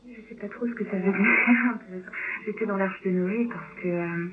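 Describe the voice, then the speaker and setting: A woman from Romania, native in Romanian, talking reflectively and hesitantly as a guest in an interview. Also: a little slow. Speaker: novelist, radio guest. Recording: tinny low-bitrate shortwave radio, clear noise.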